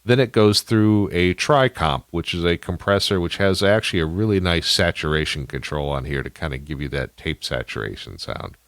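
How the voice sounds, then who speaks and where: A man's voice: deep voice, moderate pace, few pauses, fairly bright tone, dry recording. A man hosting an audio tutorial, explaining things casually and step by step into a close microphone.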